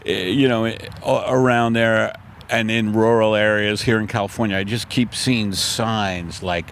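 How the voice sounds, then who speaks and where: A man's voice: on the low side, fairly even intonation, measured pace, a bit bright, a little hiss or hum. Sardonic man ranting in a park. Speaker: podcast host. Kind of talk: casual comedic chat. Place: outdoors in a park. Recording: faint outdoor ambience.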